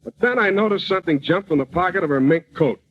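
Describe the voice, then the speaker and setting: An actor playing a hard-boiled, wisecracking private eye with cool deadpan delivery in an old-time radio comedy. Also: male voice, high-pitched, fast. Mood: brooding, deadpan. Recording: noisy, dull old radio broadcast recording.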